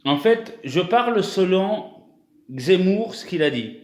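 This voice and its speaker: A man's voice: high voice, animated, takes it slowly, reverberant. A young man from France talking casually to his viewers in a vlog, hesitant, with many restarts and fillers. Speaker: YouTuber.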